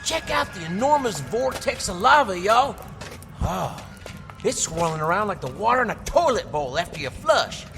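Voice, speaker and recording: A cocky, joking sidekick, voiced by a man, pitched fairly high and bouncing all over. An echoing space and steady noise sit behind him. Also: normal speed, gravelly, music in the background, full character voice. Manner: jokey, casual and brash. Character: wisecracking upbeat male companion. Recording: reverb with background noise.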